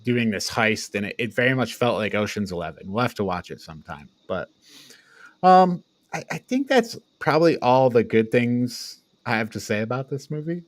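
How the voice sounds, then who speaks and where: A man's voice: mid-range voice, expressive, normal speed, dry recording. A man hosting a podcast, chatting casually as part of a panel reviewing movies. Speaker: podcast host.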